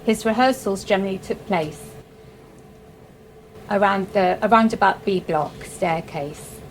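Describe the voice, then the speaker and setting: A woman from South Wales reading her own short story aloud in a measured, unhurried way with soft, dry humour. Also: mid-range voice, slight background noise, rough recording.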